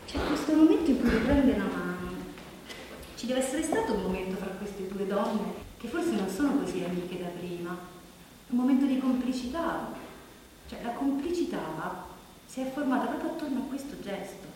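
A woman performing a reflective stage monologue as an actress thinking aloud, halting and repeating phrases, as if in spontaneous conversation. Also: on the high side, lively intonation, warm tone, natural voice. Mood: pensive, tender. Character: reflective actress pondering how to portray women awaiting death. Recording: very echoey hall, distant, noisy.

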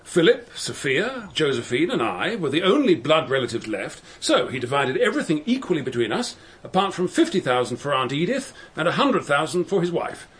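An actor with a British accent playing an anxious, faltering son of a wealthy family in a radio drama. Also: male voice, a little high, sing-song, a little fast, hardly any pauses, fairly bright tone. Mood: anxious, flustered. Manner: agitated, faltering, appealing for agreement.

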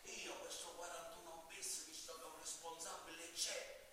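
Man playing vigilante convict, sarcastic theatrical rant, high-pitched, distant noisy hall recording. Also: flat delivery, moderate pace, lightly acted. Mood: bitter, brooding. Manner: sarcastic, ranting, addresses the judge repeatedly. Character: bitter vigilante convict, killer of mafiosi, pleading his case. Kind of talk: theatrical monologue to a judge.

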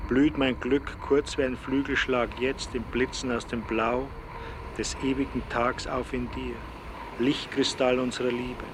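A man's voice: mid-range voice, level tone, unhurried, background noise. A man reciting poetry for a radio drama in a solemn, declamatory manner.

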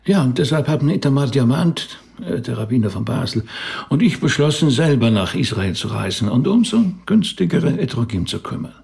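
A man's voice: medium pitch, big pitch swings, fairly quick, vocal fry, darkish tone. An actor playing a harried, earnest rabbi who explains things hesitantly to his wife in a naturalistic radio drama. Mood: agitated, earnest.

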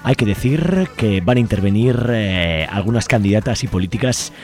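A man's voice: fairly low pitch, lively intonation, normal speed. A man with an accent from Spain hosting a community radio show, reading partly from a script. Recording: music behind the voice. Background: music.

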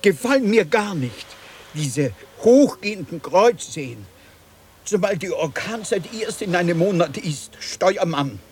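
Worried ship's pilot, raised high voice, seasoned seaman, faint ambient noise, radio drama. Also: lively intonation, measured pace. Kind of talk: German radio play acting.

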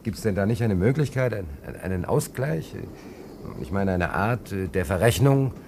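An actor playing a flustered, evasive fare dodger in a radio play, stammering and repeating himself ingratiatingly. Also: male voice, lowish voice, expressive, moderate pace, background noise. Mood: pleading, ingratiating. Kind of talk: German radio play acting.

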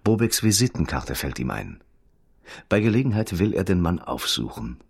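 A man narrating a radio crime play as a detached storyteller, terse and dry, with a husky voice. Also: low-pitched, very animated, normal speed, heavily acted. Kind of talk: scripted radio drama narration.

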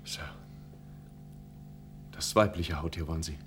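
An actor playing a dutiful, formal communications officer in a science-fiction radio play. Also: male voice, a bass voice, measured pace, a bit bright, faint music behind. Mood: sober reporting.

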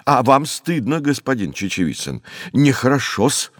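Man as a formal old-fashioned papa, scolding, emphatic, indignant, raised pitch, dry storybook sound. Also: sing-song, moderate pace, no echo.

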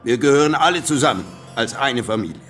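A man's voice: medium pitch, animated, quick pace, over music, close to an everyday voice. An actor playing a hospitable, insistent head of a household in a radio drama, speaking in an emphatic fatherly way.

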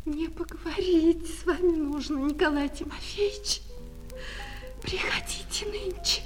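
Female seamstress, radio drama: very high voice, timid, lovelorn, plaintive, reverberant room. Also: a little slow. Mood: distraught, pleading. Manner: hesitant, plaintive. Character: unhappy lovelorn seamstress customer, distraught and timid. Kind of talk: Russian radio play of a classic short story. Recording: long reverb with music behind.

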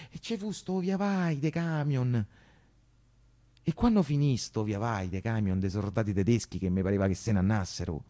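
Male actor as anguished Roman working man, slightly high voice, colloquial, insistent repetitions, theatrical wartime radio monologue. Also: a little mellow, natural voice. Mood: haunted, tense, then hushed, ominous. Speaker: stage storyteller and actor.

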